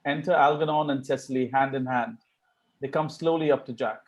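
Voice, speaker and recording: A man's voice: medium pitch, brisk, low-quality recording. A man giving scripted narration, reading out stage directions for a play.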